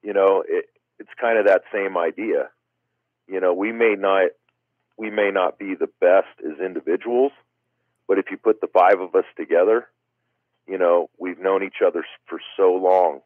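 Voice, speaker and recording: Deep-voiced man on a tinny phone line. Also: no echo. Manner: rambling, many "you know" fillers. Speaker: guest wiffle ball player. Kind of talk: casual interview chat.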